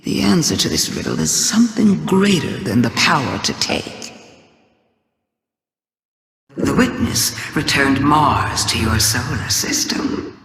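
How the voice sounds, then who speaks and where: Video game villain: cunning alien witch goddess, low-pitched for a woman, unhurried, grand and cryptic, drenched in echo. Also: lively intonation, gravelly, a bit bright, heavily acted. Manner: theatrical, deliberate, cryptic. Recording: cavernous hall reverb, crowd-like murmur behind.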